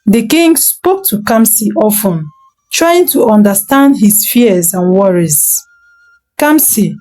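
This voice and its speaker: Slow, lively woman telling folktales. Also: mid-range voice, rough recording. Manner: slow, expressive bedtime-story telling. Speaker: storyteller. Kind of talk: scripted folktale narration.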